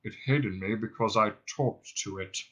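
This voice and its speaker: A man voicing a deeply depressed, self-pitying robot in an audiobook, in a muffled, dejected drone. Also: fairly bright tone. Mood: gloomy, dejected. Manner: dejected, slow, gloomy delivery. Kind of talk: audiobook character voice.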